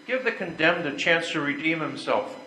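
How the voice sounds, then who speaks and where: A bright, forceful voice carries through an echoey room. It is an older man acting an earnest, self-important husband in an amateur stage play, a little high-pitched and declaiming his lines as he tries to make peace. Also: normal speed. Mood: earnest, pleading. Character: earnest, self-important but conciliatory husband, a factory vice president. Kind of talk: readers theater stage play. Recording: echoey room with clear background noise.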